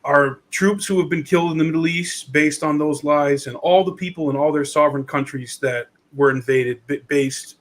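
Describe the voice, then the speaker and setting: A man's voice: on the high side, normal speed, few pauses. A man hosting a casual conversation show, talking informally like a guy among buddies. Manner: informal, says "dude" and "man". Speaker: podcast host.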